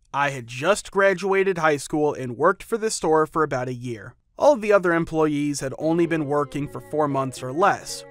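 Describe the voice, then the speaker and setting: A man narrating first-person workplace stories from a script, with sudden jumps up in pitch and loudness mid-sentence. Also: no echo. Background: faint background music.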